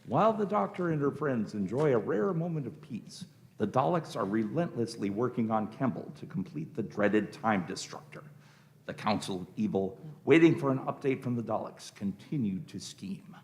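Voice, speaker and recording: Animated, gravelly male narrator. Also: mid-range voice, moderate pace. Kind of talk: scripted dramatic narration.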